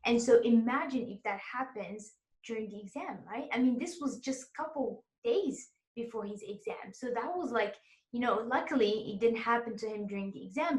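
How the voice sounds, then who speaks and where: Chatty woman host in an echoey room. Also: mid-range voice. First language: Arabic and French. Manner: chatty, encouraging, laughs often. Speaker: interview host and exam tutor. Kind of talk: casual interview chat.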